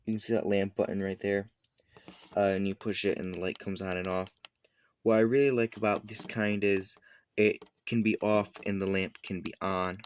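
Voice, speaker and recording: A man with a fairly low voice talks through a product review in an informal, unscripted way. He explains step by step, a little haltingly, often backing up to correct his wording. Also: little pitch movement, a little hiss or hum. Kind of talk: casual unscripted product demonstration.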